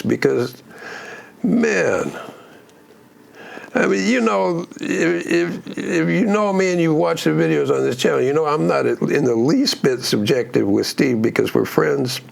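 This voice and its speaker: A man with a gruff voice hosting a casual music breakdown, rambling with restarts and plenty of enthusiasm. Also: mid-range voice, a little slow. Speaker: music channel host.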